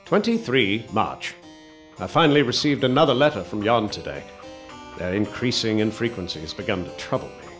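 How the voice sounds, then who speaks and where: A man's voice: medium pitch, fairly bright tone. An actor playing a polite young war veteran who narrates his journal and stammers hesitantly, in a radio drama. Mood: narrating. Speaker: narrator and lead character.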